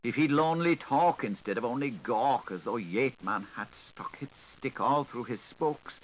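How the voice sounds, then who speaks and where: Male literary narrator, theatrical and highly varied intonation, singsong phrasing, faint hiss of a 1980s recording. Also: expressive, measured pace, low-quality recording. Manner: theatrical, lilting, singsong delivery. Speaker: audiobook narrator. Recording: hissy 1980s audiobook recording.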